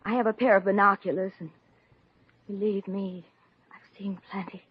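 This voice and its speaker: An actress playing a mousy, bashful, earnest young woman with a halting, coy delivery in a 1950s radio comedy. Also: mid-range voice, fairly even intonation, husky, no echo, close to an everyday voice. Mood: coy, secretive. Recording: dull old-time radio recording.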